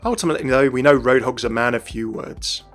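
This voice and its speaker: A male narrator delivers game lore commentary at a brisk clip. His voice sits at a middle pitch, with music playing underneath. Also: a bit bright. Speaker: video narrator.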